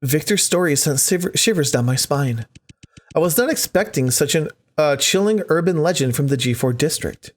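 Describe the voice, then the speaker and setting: A man's voice: on the high side, animated, moderate pace. A man hosting a let's play, reading visual novel text aloud expressively with casual commentary. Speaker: gaming video host.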